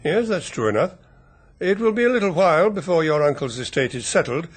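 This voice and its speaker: An actor playing a discreet family solicitor with an English accent, speaking in a measured, polite, reserved way. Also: male voice, a little high, lively intonation, breathy edge. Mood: polite, careful. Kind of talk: radio mystery drama acting.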